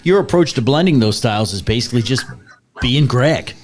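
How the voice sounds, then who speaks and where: A man's voice: mid-range voice, lively intonation, a little fast, noisy background. A man co-hosting a radio show, interviewing a guest in a playful, curious way.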